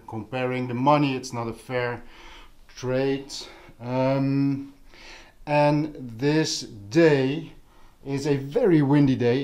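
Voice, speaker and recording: Slow-talking man. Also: medium pitch, darkish tone. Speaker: vlog host. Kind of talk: casual vlog chat about hobby audio gear.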